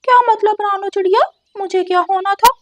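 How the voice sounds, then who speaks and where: Woman putting on a squeaky-high, gullible cartoon parrot. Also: steady tone, brisk, no room sound. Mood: shocked. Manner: naive, eager, emphatic. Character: gullible, excitable, trusting male parrot. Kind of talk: Hindi children's cartoon dialogue.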